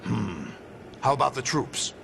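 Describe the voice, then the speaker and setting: An actor playing a cold, arrogant middle-aged villain leader in a video game, gravelly and gravely authoritative. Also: male voice, medium pitch, expressive, measured pace. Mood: cold, commanding. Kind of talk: dramatic video game acting. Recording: loud background noise.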